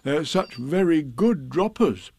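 Man doing a slow, solemn storybook bear. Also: on the high side, expressive, gravelly, darkish tone, slight background noise, voice pushed up, full character voice. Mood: placid. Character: placid, slow-thinking male toy bear, solemn and kindly.

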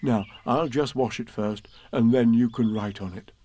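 A man voicing a gentle, simple-minded male bear who talks earnestly, in a storybook read-aloud. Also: mid-range voice, normal speed, natural voice. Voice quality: earnest, soft-spoken.

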